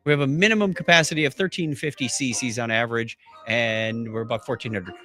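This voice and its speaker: A man's voice: mid-range voice, fairly even intonation, fast, pushed and bright, soft background music. A man hosting a podcast in a casual, jokey, conversational way. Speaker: podcast host.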